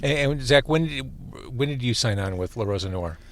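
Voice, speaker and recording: Lively fast-talking man host. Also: medium pitch. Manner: animated, quick, playful questions. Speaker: podcast host. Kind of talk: casual interview chat. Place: parked car.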